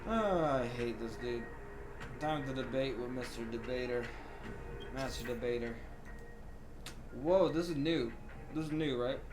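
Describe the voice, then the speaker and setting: A man's voice: mid-range voice, unhurried, pauses often. Joking male game streamer over music. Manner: joking, mocking asides. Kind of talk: casual reaction commentary over gameplay.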